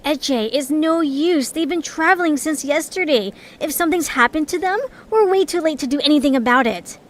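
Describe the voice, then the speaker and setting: Woman as a high-voiced, dry, impatient traveler. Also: normal speed, a little hiss or hum, close to an everyday voice. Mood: resigned, blunt. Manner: dry, sardonic. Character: blunt, pragmatic, impatient young female creature traveler.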